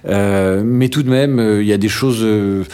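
A middle-aged man from France with a somewhat low voice answers interview questions in a slow, musing way. He pauses often and starts over, dropping a little dry humor along the way. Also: mellow, smooth. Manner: thoughtful, many "euh" pauses and restarts, dry humor. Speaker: interview guest. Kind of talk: hesitant conversational interview.

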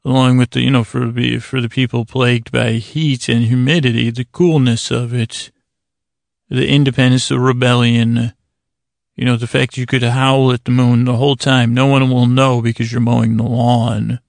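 A man with a gravelly, creaky voice hosts a bedtime podcast, recorded close and very dry. He wanders through his monologue in a stop-and-start way, stretching out words to soothe listeners toward sleep. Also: mid-range voice, little pitch movement, no room sound. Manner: meandering, stop-and-start, drawn-out soothing delivery. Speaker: podcast host.

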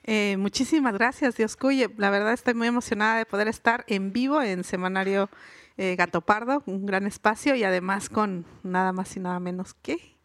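A woman's voice: medium pitch, moderate pace, no echo. Woman guest on a live podcast, thoughtful, lots of "no?" tags, dry close sound. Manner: reflective, many fillers and tag questions. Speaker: guest writer and linguist.

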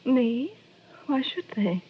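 An American woman playing a vulnerable young widow in a radio drama, breathy and halting, with melodramatic pleading. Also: fairly high pitch, very animated, slight background noise. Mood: evasive. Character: lovely young widow, tearful, vulnerable, coaxing. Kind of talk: old radio drama acting. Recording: dull vintage radio recording.

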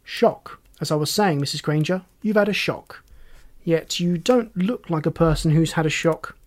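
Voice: mid-range voice, moderate pace, natural voice. A narrator voicing a commanding, wry male police inspector in an audiobook, folksy and teasing with pointed questions. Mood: probing, sardonic.

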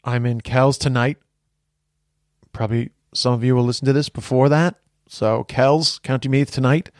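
A man's voice: medium pitch, steady tone, normal speed, a little mellow, no echo, clean studio sound. A man in his forties with an American accent hosting a podcast in casual chat, rambling with frequent restarts. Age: 40s. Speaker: podcast host.

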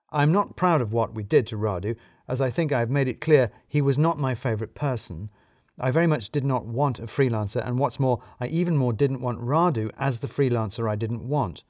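A man's voice: mid-range voice, darkish tone, no echo, studio quality. A man narrating a scripted first-person comic story in a wry, self-deprecating manner.

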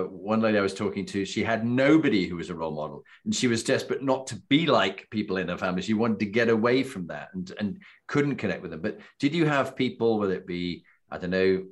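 A man with a lowish voice hosts a conversational interview. He speaks in an effusive, complimentary way, as an interviewer drawing out a guest. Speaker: interviewer and host. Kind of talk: warm-up interview chat.

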